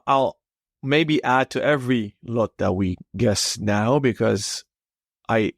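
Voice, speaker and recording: A man co-hosting a casual podcast chat, thinking aloud in a measured way with pauses. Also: fairly low pitch, no echo, clean studio sound. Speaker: podcast co-host.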